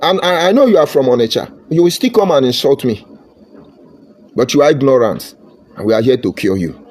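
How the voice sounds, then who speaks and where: A man's voice: on the high side, a little mellow. A Nigerian man giving a fervent, combative political monologue, slipping into Pidgin phrases. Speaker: political commentator.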